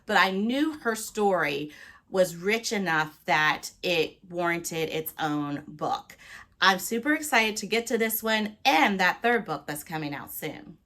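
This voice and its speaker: A woman talking casually to viewers as a book vlogger, with an expressive, playful and emphatic delivery. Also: a little high. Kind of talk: casual, chatty talk to viewers.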